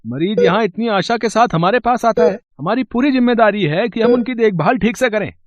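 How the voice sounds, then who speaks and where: Strict hospital doctor, acted by a man: reprimanding, strikingly high voice, lo-fi muffled sound. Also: a little fast, dry recording, lightly acted. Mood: stern, scolding. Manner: stern, reprimanding. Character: stern, dutiful male hospital doctor scolding a nurse. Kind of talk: Hindi horror story acting. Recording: dull, lo-fi voice track.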